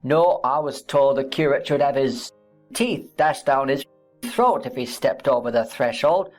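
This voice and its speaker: A woman's voice: a bass voice, unhurried, faint music behind, voice pushed down. A woman voicing a sullen, defiant little country boy who drawls in dialect in a dramatized classic novel. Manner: drawling, surly, dialect-speaking.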